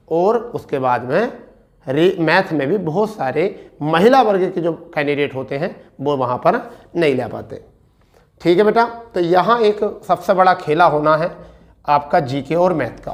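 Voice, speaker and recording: A man lecturing students as a coaching teacher, asking and answering his own questions and repeating key points. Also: high voice.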